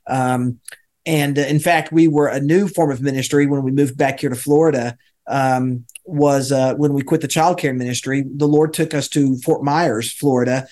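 An American man giving conversational interview answers as a guest, speaking in a halting way with many pauses. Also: medium pitch, steady tone, a little mellow. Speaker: interview guest, volunteer church ministry leader.

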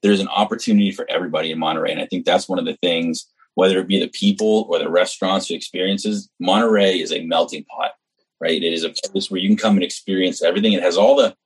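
A deep, husky male voice from New Hampshire, talking with earnest enthusiasm as an interview guest. He runs on in long, eager stretches of casual conversation. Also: steady tone, quick pace, low-quality recording. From New Hampshire, US East Coast. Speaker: executive chef, guest.

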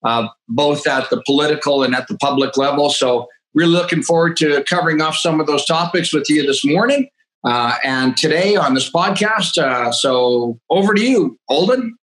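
A man's voice: a little high, lively intonation, normal speed, a bit bright, poor audio. A man in his fifties with a Canadian accent, explaining things conversationally as a podcast guest. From northeastern Alberta, Canada. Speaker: podcast guest, agriculture entrepreneur.

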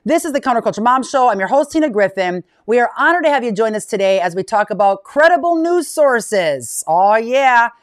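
A woman's voice: a little high, animated, brisk, hardly any pauses, no room sound, clean studio sound. Upbeat woman hosting a podcast. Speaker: podcast host.

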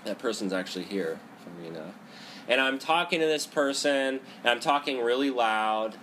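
A man with a head cold teaching a seated group about meditation, talking loudly in a conversational way and often checking in with "right?". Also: mid-range voice, lively intonation, moderate pace, slight background noise, low-quality recording. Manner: loud talker; conversational, frequent "right?" and "you know". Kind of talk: Buddhist psychology talk to a group. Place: indoor room with a group, seated cross-legged.

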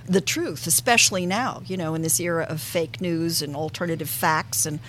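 A woman from Connecticut, a guest in a casual conversation about the arts, telling stories in an animated way and laughing easily. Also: low voice, moderate pace, a little hiss or hum. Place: restaurant.

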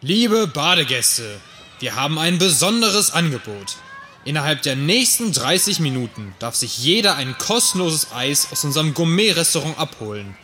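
A cheery resort announcer played by a man calls out a promotional message in an audio drama. His voice is bright, forceful and a bit high, with noise behind it. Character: cheery resort announcer addressing bathing guests.

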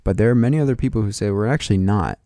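A man's voice: fairly low pitch, racing, dark tone, no echo, studio quality. An American man hosting a podcast, chatting casually in a colloquial, musing way. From United States. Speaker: podcast host. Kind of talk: casual chat.

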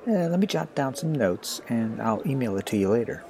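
A man's voice: medium pitch, lively intonation, fairly quick, a little mellow, natural voice. A man playing a wry, awkward ninth-grade boy with a grown-up mind, hesitant and thoughtful, in an audio drama. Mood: helpful, wry.

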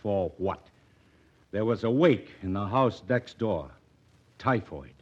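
A man's voice: lowish voice, lively intonation, slow, slightly rough, full character voice. An actor playing an earnest, awkward young male doctor in a vintage radio drama, with a hesitant, formally polite delivery. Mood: grave, weary. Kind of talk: 1940s radio drama acting. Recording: dull, muffled vintage radio recording.

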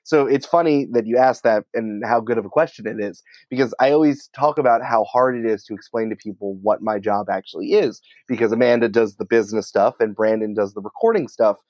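A man's voice: fairly low pitch, a little fast, hardly any pauses, a little mellow, dry recording. Guy guesting on a podcast, chatty n casual, really dry slightly muffled sound. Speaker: podcast producer, interview guest. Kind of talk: casual interview chat.

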